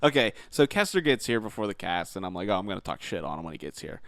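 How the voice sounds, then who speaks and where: A man's voice: low voice, big pitch swings, fast talker, gravelly, fairly bright tone, dry recording, clean studio sound. A man co-hosting a podcast with casual gaming banter, full of swearing and joking trash talk. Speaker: podcast co-host.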